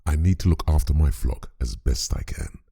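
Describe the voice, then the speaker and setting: Gentle parish priest, extremely low voice, husky, earnest, audio drama. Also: male voice, sing-song, normal speed, full character voice. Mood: weary, concerned. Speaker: voice actor.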